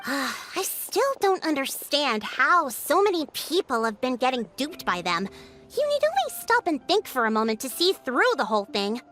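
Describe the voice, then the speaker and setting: A woman voicing a composed, astute young female chief in a video game, explaining things in a measured, authoritative way. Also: high voice, sing-song, fairly bright tone, soft background music. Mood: measured, explanatory.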